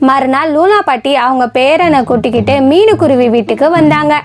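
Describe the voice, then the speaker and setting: A woman's voice: high voice, a little fast, faint music behind. A woman narrating a scripted children's story.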